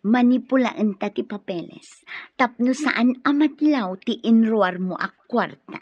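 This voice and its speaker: A woman's voice: a little high, a little slow, breathy edge, no echo. An actress playing a sly, calculating female accomplice in a radio drama. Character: scheming female accomplice plotting over money. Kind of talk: Ilocano radio drama acting. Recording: dull, lo-fi radio drama audio.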